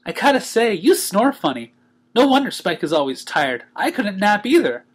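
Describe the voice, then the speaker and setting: A man's voice: high voice, sing-song, moderate pace, voice pushed up. A man voicing a bubbly, childlike young female cartoon pony, cheerful, bouncy and chatty, in a storybook reading.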